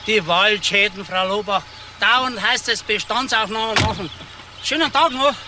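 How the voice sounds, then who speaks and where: An actor playing a polite, chatty, mildly grumbling forestry worker in a crime drama, calling out in a friendly way. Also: male voice, sits high, unhurried. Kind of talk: screen crime drama dialogue. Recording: noisy outdoor scene, vehicle sounds.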